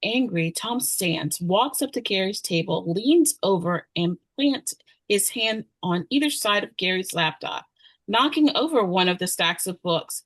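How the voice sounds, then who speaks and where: A woman's voice: mid-range voice, lively intonation, measured pace, a bit bright, slightly rough, no echo, poor audio. Dry-sounding woman narrating a screenplay. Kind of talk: scripted narration of screenplay directions.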